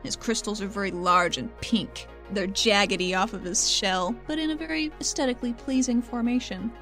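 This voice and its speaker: A woman's voice: medium pitch, normal speed, fairly bright tone, faint music behind. A woman playing a tabletop roleplaying game, chatting and describing things casually in an informal, jokey way. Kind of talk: casual playful banter and description.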